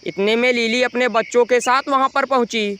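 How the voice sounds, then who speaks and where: A man's voice: extremely high pitch, fairly even intonation, moderate pace, fairly bright tone, smooth. A man narrating a scripted children's moral story as storyteller.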